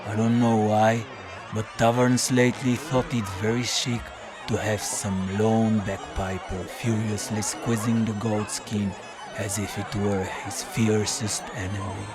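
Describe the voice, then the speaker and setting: An actor playing a battered, hard-drinking detective, narrating a noir audio drama in a deadpan, world-weary, wry way. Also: male voice, lowish voice, a little slow, music in the background, close to an everyday voice, poor audio.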